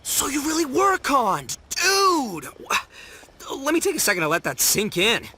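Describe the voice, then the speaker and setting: Loud, swooping young gang boss. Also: male voice, high-pitched, sing-song, moderate pace, slight background noise, full character voice. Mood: amazed. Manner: bombastic, slangy, exclamatory. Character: brash, dim but big-hearted young gang boss. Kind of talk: animated video game voice acting.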